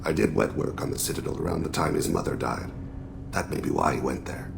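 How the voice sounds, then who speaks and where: An actor playing a solemn, regretful alien assassin and father in a video game, speaking in measured, formal, introspective phrases with a gravelly voice. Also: noisy background, full character voice. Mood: quiet, troubled. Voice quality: very deep, heavily gravelly. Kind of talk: video game dramatic dialogue.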